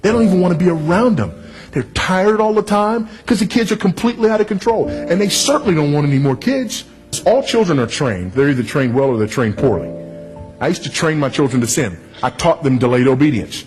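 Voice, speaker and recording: A man preaching a sermon in a firm, measured, didactic way. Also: fairly high pitch, animated, a little mellow, soft background music, low-quality recording.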